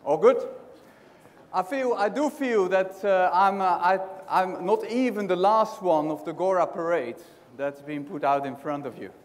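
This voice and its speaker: Keynote speaker: middle-aged man from the Netherlands with a Dutch accent, chatty, high voice, echoing hall. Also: unhurried. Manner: conversational, restarts often, asks the audience questions. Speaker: conference speaker. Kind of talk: keynote talk. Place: large hotel conference hall. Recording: hall reverb.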